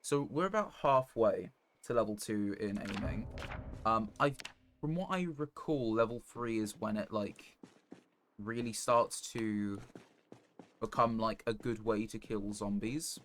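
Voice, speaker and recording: A man streaming a game live, giving casual commentary in a halting, rambling way as he thinks aloud. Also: lowish voice, fairly bright tone. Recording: noticeable background noise.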